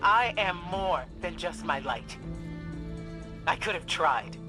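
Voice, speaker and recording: A grave, commanding warrior-mage. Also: female voice, on the low side, lively intonation, over music. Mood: grieving. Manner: solemn, measured, commanding. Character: grave, wise woman warrior-mage, weary leader. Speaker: game character. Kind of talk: video game cutscene acting. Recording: game mix with loud score.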